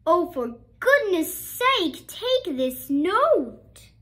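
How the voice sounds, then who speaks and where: A woman's voice: extremely high pitch, very animated, very slow, fairly bright tone, voice pushed up, full character voice. A woman voicing a stern, easily outraged female schoolteacher from a storybook, scolding with indignant emphasis. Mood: exasperated. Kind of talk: storybook character voice.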